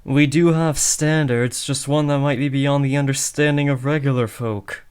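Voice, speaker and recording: A man's voice: medium pitch, fairly even intonation, normal speed, a little mellow, clear, resonant tone, lightly acted. A young man acting an awkward, earnest teenage boy who is trying to be a supervillain, hesitant and often correcting himself, in a comedic audio roleplay. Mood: awkwardly reassuring.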